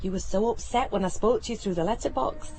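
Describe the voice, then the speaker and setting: An actress playing an eager, warm-hearted, chatty woman, coaxing and informal, in a naturalistic radio play. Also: medium pitch, fast, background noise, close to an everyday voice. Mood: tender, confessional.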